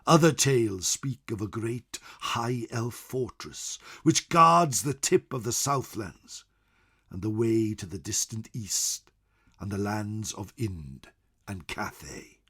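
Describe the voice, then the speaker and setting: A man narrating fantasy lore as a venerable old scholar, grave and measured, with dramatic emphasis and a slightly husky voice. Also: on the low side, animated, pushed and bright, exaggerated voice. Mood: ominous, wary. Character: venerable old scholar recounting wary, wondrous tales of distant lands. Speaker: storyteller.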